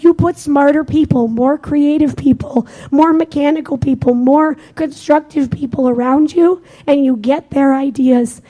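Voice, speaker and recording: A young woman with a squeaky voice giving an energetic, conversational talk to a live audience at a conference. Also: low-quality recording. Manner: energetic, conversational, self-deprecating. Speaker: conference speaker.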